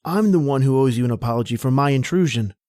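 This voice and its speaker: A man's voice: medium pitch, animated, fast talker, warm tone, no echo, studio quality, close to an everyday voice. A man playing a charming, bold young suitor in an old-time radio drama, talking in a gallant, flowery way. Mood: charming, eager.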